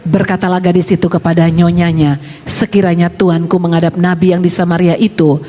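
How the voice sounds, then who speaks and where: A woman reads scripture aloud in a steady, even way. Her voice is mellow and flat, with little movement in pitch. The sound comes from across a church hall with a long echo. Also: brisk. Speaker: scripture reader. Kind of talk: reading Bible verses aloud.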